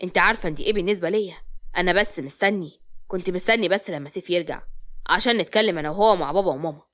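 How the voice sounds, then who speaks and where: Woman voicing a lovestruck young Egyptian man, fast-talking. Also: fairly low pitch, fairly bright tone, natural voice. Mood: insistent, tender. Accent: Egyptian Arabic. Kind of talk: acted Egyptian romance audio story.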